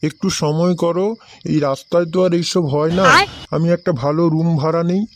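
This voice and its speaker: A man's voice: on the high side, fairly even intonation, fairly quick, darkish tone, dry recording, close to an everyday voice. A man voicing a lovestruck, lecherous boyfriend in a comedy cartoon, eager and sweet-talking. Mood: eager, wooing. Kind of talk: adult comedy cartoon voice acting.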